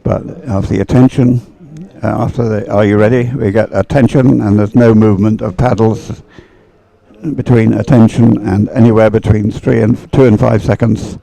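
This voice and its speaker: A man giving live sports commentary on boat racing, speaking in a hesitant, unhurried, explanatory way. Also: fairly low pitch, fairly even intonation, gravelly, warm tone. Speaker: race commentator.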